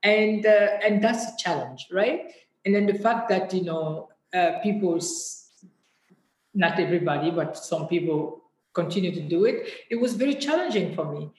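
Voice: mid-range voice, normal speed, slight background noise. Guest, reflective and searching for words, mid-range voice, roomy echo. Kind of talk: candid interview talk.

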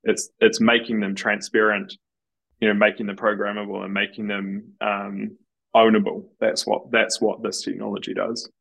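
Gravelly, flat-voiced man explaining. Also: on the low side, normal speed, poor audio. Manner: confident, explanatory, builds on points. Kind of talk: casual podcast interview.

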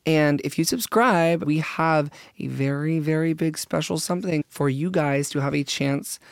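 A hoarse podcast co-host in casual chat, joking and rambling with lots of slang. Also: female voice, sits low, moderate pace, no echo, studio quality.

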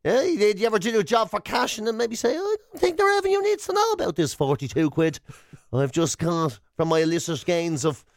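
Irish man, high-pitched, dryly recorded banter. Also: very animated, a little fast, no echo, clean studio sound. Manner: mock-accusatory, teasing. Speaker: radio show co-host. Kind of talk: bantering comedic chat. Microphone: close studio microphone.

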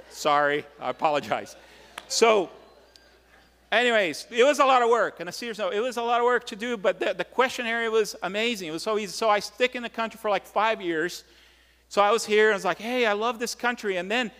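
A male pastor with a Brazilian accent speaks in a noticeably high-pitched voice, with long reverb from the hall around him. His sermon is conversational and animated, built on personal storytelling in English as a second language. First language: Portuguese. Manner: animated storytelling, acts out short exchanges.

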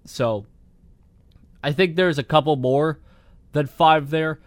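A man's voice: a little high, slow, no room sound. A young man hosting a solo podcast, rambling casually with lots of "like" fillers while losing his voice to a cold. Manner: rambling, heavy use of filler "like". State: losing his voice, getting sick. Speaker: podcast host.

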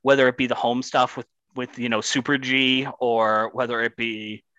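A man's voice: medium pitch, flat delivery, moderate pace, bright, forceful tone, no room sound, highs cut off. A man co-hosting a casual sports podcast, building his points with repeated phrases. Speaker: podcast co-host.

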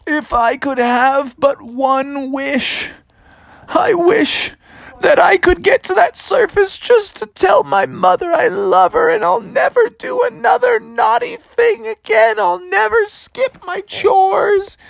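Woman playing a high-pitched, melodramatic young boy bear. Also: lively intonation, a little fast, vocal fry, rough recording. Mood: tearful pleading. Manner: pleading, exaggerated melodrama. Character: young boy bear surfer, dramatic and earnest.

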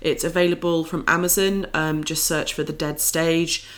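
A woman's voice: deep voice, fairly even intonation, normal speed. A woman co-hosting a podcast, chatting in an informal, enthusiastic way. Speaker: podcast co-host. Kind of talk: casual scripted-free podcast chat.

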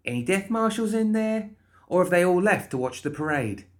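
A man's voice: sits high, animated, normal speed, pitched above the performer's own voice. A man voicing a perky, chatty undead woman in an audiobook, bubbly and pushy with coaxing false reassurance. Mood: coaxing, brisk. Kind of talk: audiobook character voice.

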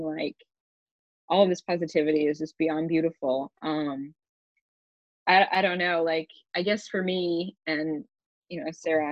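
Low-voiced gushing woman host. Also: no room sound, lo-fi sound. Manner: gushing, rambling, filler-heavy. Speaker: podcast host and author. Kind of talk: casual podcast chat.

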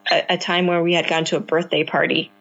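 A woman's voice: on the low side, flat delivery, quick pace, a bit bright, breathy edge, muffled recording. A woman answering interview questions about raising her young child, speaking earnestly and reflectively with frequent restarts, her first language Cantonese. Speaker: parent guest.

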